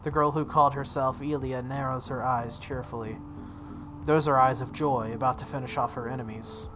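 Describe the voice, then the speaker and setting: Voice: mid-range voice, steady tone, moderate pace, music in the background. A let's play host reading visual novel text aloud dramatically, breaking off into casual reactions.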